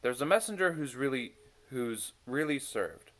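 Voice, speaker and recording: A man's voice: mid-range voice, sing-song, moderate pace, a bit bright. A man voicing a proud but self-doubting messenger in a storybook, fretful and questioning himself.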